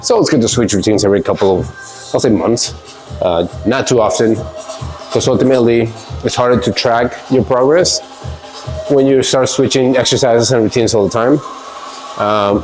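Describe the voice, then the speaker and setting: A man with a somewhat low voice talks through his workout in a loose, rambling way. He sounds stuffy and congested, as if he has a cold. Quiet music plays faintly in the background. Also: rough recording. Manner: relaxed, rambling, with fillers and laughs. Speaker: fitness vlogger. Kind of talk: casual workout vlog talk.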